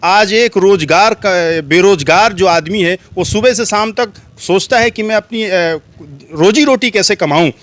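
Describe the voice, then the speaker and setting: A man's voice: high-pitched, measured pace, fairly bright tone. An Indian man giving earnest political opinions in an interview, speaking emphatically and oratorically, repeating phrases for emphasis. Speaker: street interviewee.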